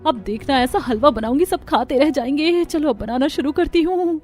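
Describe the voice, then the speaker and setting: A woman's voice: high-pitched, moderate pace, a little mellow, close to an everyday voice. A woman playing a cheerful, eager young daughter-in-law in a family cartoon. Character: eager, sweet young daughter-in-law who loves cooking.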